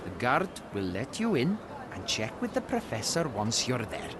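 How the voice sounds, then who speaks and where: A man's voice: medium pitch, wildly swooping pitch, moderate pace, noisy background. An actor playing a wary, blunt male recruiter for a dig in a video game.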